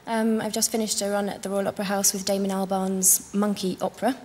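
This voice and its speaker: A woman's voice: medium pitch, flat delivery, fast talker, darkish tone, slight background noise. A young woman from Carlisle, England, introducing herself plainly as a singing contestant. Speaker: contestant singer. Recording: reverberant TV studio.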